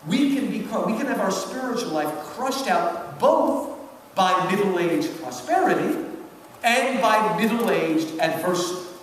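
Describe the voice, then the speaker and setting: Male lecturer, fifties, emphatic and very lively intonation, questioning style, heard at a distance in a reverberant hall. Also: high-pitched, a little slow. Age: 50s. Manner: emphatic, rhetorical questions, checks with listeners. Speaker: lecturer, professor. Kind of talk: animated public lecture commentary. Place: large indoor hall. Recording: echoey hall, distant mic, background noise.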